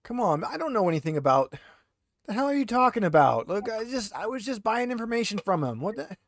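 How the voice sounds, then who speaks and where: A man's voice: sits high, big pitch swings, fairly quick, dry recording, clean studio sound, voice pushed up. A man voicing a short balding insurgent prisoner who is defensive and evasive, stammering indignantly. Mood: flustered, evasive.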